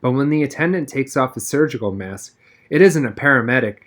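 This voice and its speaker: A man reading a novel aloud as an expressive narrator, with wry first-person storytelling. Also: medium pitch, fairly quick. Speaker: reader, narrator. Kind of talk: expressive audiobook-style read-aloud of a novel.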